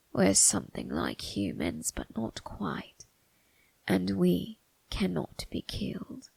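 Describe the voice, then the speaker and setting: A woman acts as an undead undertaker, a grim reaper with an obsessive, quietly menacing temper. The delivery swings widely in pitch, sinking into heavy creak, and sits very close and dry. It is a deliberate, ominous ASMR character monologue, often breathy and whispered. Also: no room sound, heavily acted. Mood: intent, coaxing. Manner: slow, deliberate, ominous, theatrical phrasing.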